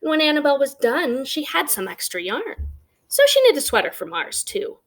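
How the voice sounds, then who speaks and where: A woman reads a picture book to children. Her voice sits a bit high and moves with lots of expressive rise and fall. She leans on key words with emphatic pauses. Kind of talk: read-aloud storytelling with conversational asides.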